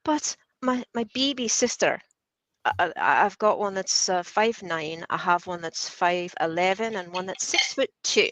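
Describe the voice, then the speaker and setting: A woman co-hosting a casual chat, telling a story in a playful, animated way. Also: on the low side, a little slow, no echo. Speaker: podcast co-host.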